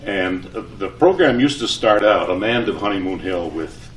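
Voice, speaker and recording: Older male speaker, retired announcer type, lowish pitch, live anecdotal talk, roomy sound with faint noise. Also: lively intonation, normal speed. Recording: echoey room, distant mic, faint noise.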